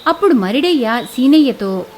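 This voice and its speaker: A woman's voice: high-pitched, expressive, moderate pace, darkish tone, slight background noise. A woman narrating a scripted children's moral story as a storyteller.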